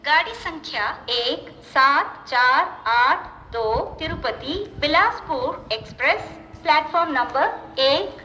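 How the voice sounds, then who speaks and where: A woman with an Indian accent making formal, measured scripted train announcements in set, pieced-together phrases over a railway station loudspeaker. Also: high voice. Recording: echoey station public-address, faint noise.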